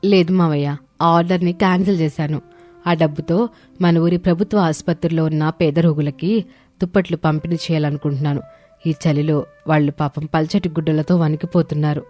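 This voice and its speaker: A woman's voice: low-pitched, steady tone, a little fast, a little mellow, faint music behind, natural voice. A woman voicing a young village daughter-in-law, respectful and earnest, in a moral story.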